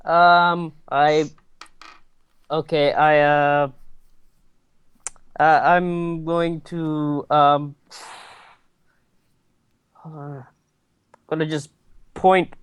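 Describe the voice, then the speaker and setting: A male tabletop player with a smooth, clear voice speaks at a slow pace. He is hesitant and casual, often fumbling for his next decision. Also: on the high side, little pitch movement, dry recording, muffled recording. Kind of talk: casual game-table chat.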